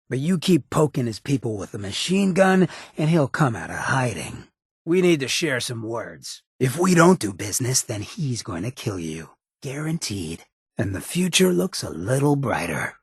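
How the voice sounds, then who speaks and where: An actor playing a swaggering, roguish and menacing ghoul mayor in a video game, with a raspy, streetwise, slangy drawl. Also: male voice, mid-range voice, no echo, studio quality, heavily acted. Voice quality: very raspy, gravelly rasp. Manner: streetwise slangy drawl, cocky and smooth-talking. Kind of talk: video game character dialogue.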